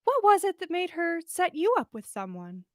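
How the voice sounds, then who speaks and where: A woman voicing a calm, gentle, dreamy young woman in a storybook reading. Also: very high-pitched, moderate pace, dry recording, studio quality, pitched above the performer's own voice. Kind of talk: storybook character dialogue.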